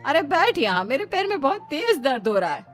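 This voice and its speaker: Village mother-in-law played by a woman, middle-aged, bossy and harried, high raised calls, cartoon story with music behind. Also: big pitch swings, fairly quick. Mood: bossy, complaining. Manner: loud commanding calls, exclamatory homely grumbling.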